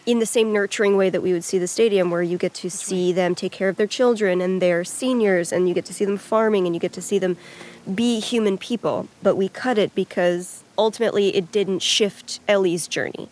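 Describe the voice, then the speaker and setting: A woman's voice: medium pitch, fairly quick, darkish tone. A woman discussing a video game's story as a writer, in a conversational commentary.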